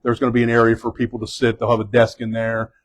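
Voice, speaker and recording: A fire chief leading a group around a building indoors, speaking loudly in a casual, halting way with many fillers and restarts. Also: male voice, medium pitch, monotone. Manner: loud voice. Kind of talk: casual spoken tour guiding.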